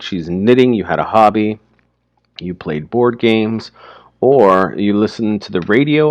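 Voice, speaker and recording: A man teaching through informal, explanatory commentary on a story, speaking haltingly as he thinks aloud. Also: low-pitched, no echo.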